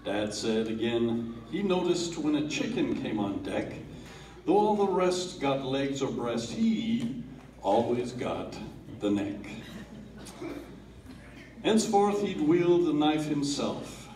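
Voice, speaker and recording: A man giving a dramatic reading of a comic poem at a family gathering, theatrical, with swells and hushed drops. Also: mid-range voice, big pitch swings, slow, slight background noise. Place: family holiday gathering in a room. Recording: roomy, distant home video.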